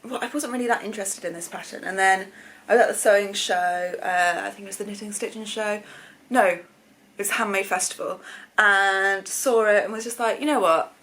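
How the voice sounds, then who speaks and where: British woman, slightly croaky, mid-pitched, informal vlog chat, rambling, self-correcting. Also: fairly even intonation, fairly quick, fairly bright tone. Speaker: sewing vlogger, channel host. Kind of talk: casual chatty vlog to camera.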